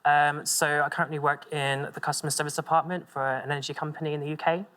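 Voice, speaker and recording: A calm young man from Bristol, England, giving casual answers as a quiz show contestant. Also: on the high side, fast talker.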